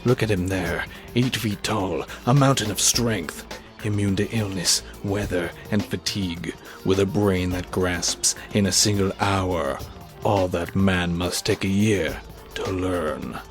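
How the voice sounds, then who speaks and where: A man's voice: deep voice, takes it slowly, over music. A man playing an obsessed, arrogant scientist in a grandiose, theatrical amateur audio drama. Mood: grandiose, exultant. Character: obsessed, arrogant scientist who creates a monster.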